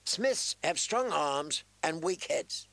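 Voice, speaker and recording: A man's voice: a little high, animated, slow, vocal fry, fairly bright tone, no room sound, voice pushed up, exaggerated voice. A man doing a sneering arrogant young prince. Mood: commanding. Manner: sneering, commanding. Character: arrogant young male prince, mocking and threatening.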